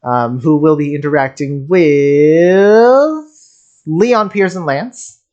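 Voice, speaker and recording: A game streamer reacts casually in a middle-pitched voice. The delivery is rambling, with frequent stammered repetitions as thoughts get worked out aloud. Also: slow, a little mellow, muffled recording. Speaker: streamer, game content creator.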